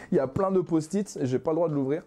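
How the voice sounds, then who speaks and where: A man's voice: a little high, fast talker. A young man who grew up in Ghana, a manga author talking casually as a podcast guest, with lots of hesitations and restarts. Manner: relaxed, many hesitations and restarts.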